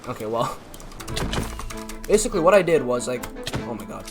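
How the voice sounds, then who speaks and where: A man's voice: medium pitch, big pitch swings, normal speed. A young man from Canada chatting casually over gameplay, rambling with lots of fillers and self-deprecating asides. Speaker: YouTuber. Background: background music.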